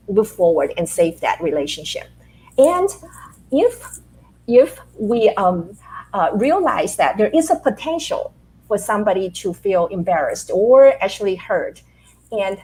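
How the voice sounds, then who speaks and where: Animated woman from Taiwan. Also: fairly high pitch, poor audio. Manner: animated storytelling with rhetorical questions. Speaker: guest, leadership author. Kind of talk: interview answers.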